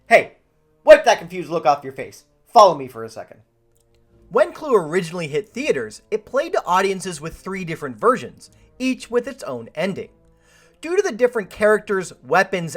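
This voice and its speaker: A woman with a Canadian accent hosting a scripted film video essay, talking playfully and directly to the viewer. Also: medium pitch. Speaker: video host and film commentator. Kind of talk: scripted video essay narration with chatty asides.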